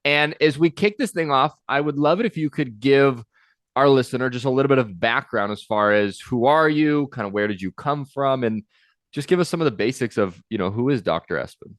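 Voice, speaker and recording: Male podcast host, upbeat and encouraging, middle pitch, dry studio sound. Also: brisk, no echo. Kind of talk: podcast intro and interview.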